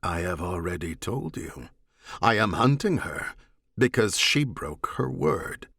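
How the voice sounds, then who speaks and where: A male reader puts on an evasive alien enforcer for a science fiction audiobook. He speaks formally and without hurry in a low register, quietly defiant, and the sound is close and dry. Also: big pitch swings, gravelly, husky, no room sound, full character voice. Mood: coolly defiant. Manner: measured, formal, unhurried. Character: cool, evasive alien oath-bound bounty hunter, quietly defiant.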